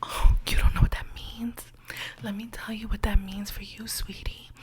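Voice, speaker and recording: A woman's voice: mid-range voice, level tone, moderate pace, lightly acted. A woman playing a condescending female insurance claims agent in an ASMR roleplay, whispering in a patronizing way and calling the listener sweetie and honey. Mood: sassy.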